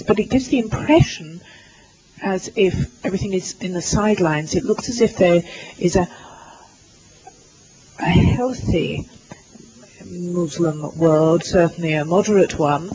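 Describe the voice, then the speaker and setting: Male simultaneous interpreter with a high voice, halting delivery full of fillers and restarts, lecture setting. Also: warm tone, a little hiss or hum, low-quality recording. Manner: halting, with many fillers and restarts while rendering others' words. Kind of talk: live interpretation at a university lecture. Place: lecture hall.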